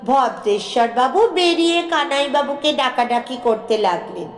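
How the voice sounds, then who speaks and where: A woman narrating a bedtime story in an expressive storytelling style. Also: high-pitched, normal speed, faint music behind. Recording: roomy echo.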